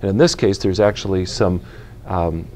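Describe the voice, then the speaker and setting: A man in his fifties, filmed in a large indoor store with a lapel mic, explaining equipment to camera in a clear, measured, practical way. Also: deep voice, steady tone, a little mellow. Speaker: retail company spokesperson presenting boating gear.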